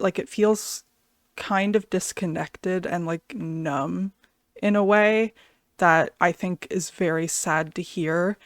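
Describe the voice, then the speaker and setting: A woman's voice: on the low side, dry recording, clean studio sound. A woman giving casual, unscripted reaction commentary, thinking aloud hesitantly with lots of "like". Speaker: music reaction reviewer.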